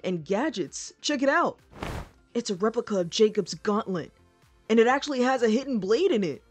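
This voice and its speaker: A woman's voice: a little high, animated, moderate pace, faint music behind. A woman playing a polite, enthusiastic twin brother in an audio drama, courteous and slightly theatrical.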